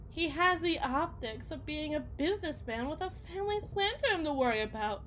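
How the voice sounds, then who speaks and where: A woman playing an anxious, lovesick suburban wife and mother in an ASMR roleplay, speaking in a halting, emotional way. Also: extremely high pitch, fairly bright tone, slight background noise, heavily acted. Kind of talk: ASMR roleplay, dramatic monologue.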